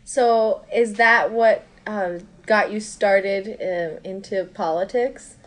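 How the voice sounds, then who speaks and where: Woman interviewer, mid-range voice, unhurried delivery, conversational questions. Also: medium pitch, rough recording. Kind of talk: conversational oral-history interview.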